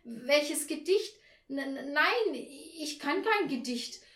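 A woman's voice: on the high side, sing-song, measured pace, a little mellow, roomy sound. A woman voicing a timid, flustered older woman who stammers and justifies herself in a children's storybook.

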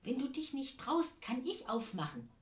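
A woman's voice: high-pitched, big pitch swings, normal speed, voice pushed up. A woman storyteller puts on a candid little girl from a storybook. The voice is lifted and eager, quite unafraid, with room echo around it. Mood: hushed, candid. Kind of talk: children's storybook character voice.

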